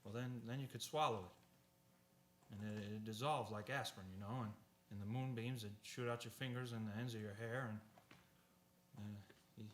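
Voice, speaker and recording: An actor playing a restless, earnest young man with big dreams and a halting, stammering delivery in an old-fashioned radio play. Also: lowish voice, fairly even intonation, natural voice. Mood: dreamy, boastful. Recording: roomy echo, faint hiss.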